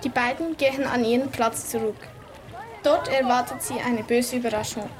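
A woman's voice: a little high, fairly even intonation, normal speed. A female narrator reading scripted story narration for a children's radio play.

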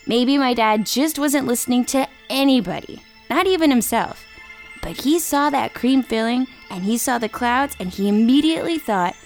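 A woman playing an excitable young child who tells tall tales in a theatrical, animated way for a children's story. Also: fairly high pitch, moderate pace, faint music behind. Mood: building excitement. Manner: theatrical, exuberant, acts out voices.

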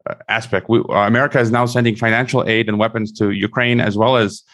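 A man's voice: on the low side, flat delivery, fast, a bit bright. A man hosting an interview from a room at home, often repeating words and restarting his sentences. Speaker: interview host. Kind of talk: interview discussion.

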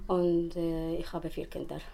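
A woman from Syria whose first language is Arabic, answering interview questions in simple, halting German. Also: on the low side, little pitch movement, creaky, warm tone, slight background noise.

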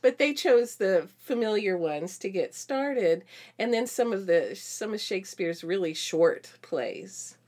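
A woman's voice: medium pitch, expressive, a little slow, a little mellow. A woman co-hosting a casual podcast in an agreeable, easygoing conversational way. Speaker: podcast co-host.

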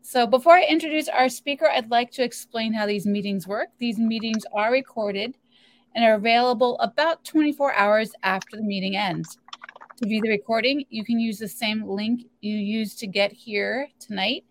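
A woman's voice: fairly high pitch, moderate pace. A woman hosting an online webinar, giving friendly welcomes and steadily reading out the meeting rules and the speaker's introduction. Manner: friendly, reads announcements steadily. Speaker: webinar host.

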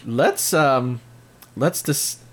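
A man in a casual film-discussion chat, playful and animated, laughing a lot. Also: mid-range voice, very slow.

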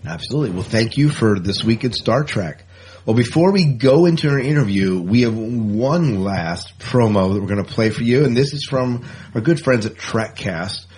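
A man's voice: mid-range voice, lively intonation, moderate pace, darkish tone, slight background noise. A man co-hosting a podcast in a casual, conversational style. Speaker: podcast co-host. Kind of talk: casual chat.

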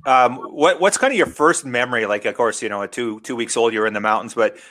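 A man's voice: mid-range voice, fairly quick, sharp tone. A man hosting an interview, asking long, rambling questions padded with "you know". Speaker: podcast host interviewer.

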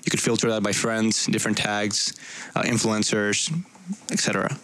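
A man's voice: on the low side, flat delivery, moderate pace, fairly bright tone, low-quality recording. A young man from Puerto Rico explaining things conversationally as an interview guest. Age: young adult. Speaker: guest, startup co-founder.

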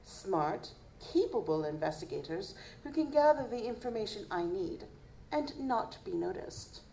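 A woman playing an elegant, composed vampire in a noir audio drama, formal, poised and deliberate. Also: mid-range voice, lively intonation, creaky, dark tone, rough recording. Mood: silky, persuasive. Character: elegant, composed female vampire who hunts monsters. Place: live stage performance at a convention. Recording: live stage, faint background noise.

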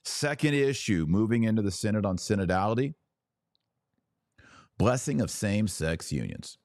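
Low-pitched, animated preachy man. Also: moderate pace, no room sound, clean studio sound. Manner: emphatic, preachy, rhetorical questions and asides. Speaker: podcast host and religious commentator. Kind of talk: opinionated monologue to an audience.

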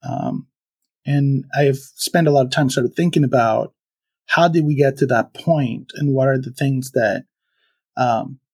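A man from Venezuela speaking as a podcast guest, thoughtful and halting, with many fillers and restarts. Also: mid-range voice, gravelly, a little mellow, husky. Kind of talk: reflective conversational interview.

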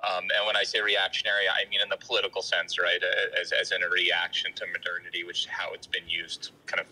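A man calling in to a live show with a question, phrasing it haltingly and carefully with many qualifications. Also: sits low, completely flat delivery, pushed and bright, rough recording. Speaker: caller.

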